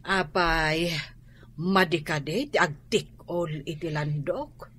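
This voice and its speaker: A woman's voice: on the low side, slow pace, fairly bright tone, a little hiss or hum. A woman with an Ilocano accent acting a worried, protective mother, fretful and sighing, in a radio drama. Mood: soft, anxious. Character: worried, protective mother standing up for her child.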